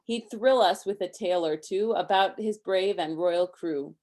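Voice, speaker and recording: A woman with a lowish voice reads a children's story aloud. Her delivery is expressive on the rhymes and turns casual and simple when she explains things to a young listener. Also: unhurried. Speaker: storybook reader.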